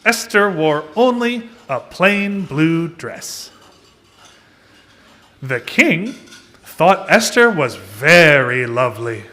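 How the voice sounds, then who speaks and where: Male storyteller, animated melody, unhurried pace, puppet show narration, expressive. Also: a little high.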